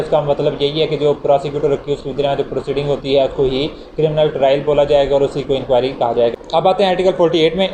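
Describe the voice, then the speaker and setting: A man giving a legal lecture. He reads English legal clauses slowly, then explains them casually with everyday examples. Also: on the high side, dark tone, poor audio. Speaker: law lecturer. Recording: audible background noise.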